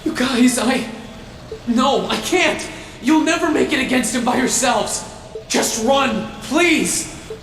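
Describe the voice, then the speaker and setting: An actor playing an earnest, stubborn young student hero and knight pilot in a video game cutscene. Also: male voice, very high voice, takes it slowly, vocal fry, fairly bright tone, heavily acted. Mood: desperate. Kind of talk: English dub video game cutscene acting. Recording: roomy echo, faint music behind.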